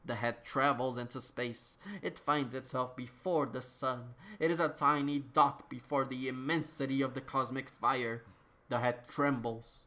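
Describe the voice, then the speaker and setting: A person giving a dramatic reading, theatrical and declamatory, with emphatic outbursts and drawn-out words. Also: mid-range voice, fairly even intonation, pushed and bright. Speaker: reader. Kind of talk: dramatic reading of absurd written text.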